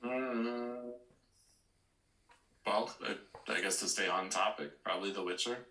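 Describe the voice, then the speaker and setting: Man, low voice with a sharp bright edge, hesitant podcast chat, echoey room. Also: measured pace. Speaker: podcast panelist.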